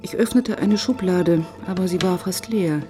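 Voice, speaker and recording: A determined female police inspector played by an actress in a radio crime drama. Her voice sits low, and she tells and probes her case in a sober, exact way. Soft music runs behind her. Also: moderate pace, close to an everyday voice. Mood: sober narrating. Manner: sober, precise, probing. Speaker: narrator and lead detective.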